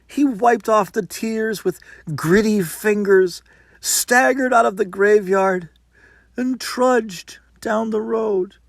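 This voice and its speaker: A man's voice: high-pitched, slow, creaky, no room sound. A man reading a folktale as dramatic narration, with a theatrical, suspenseful and emphatic delivery.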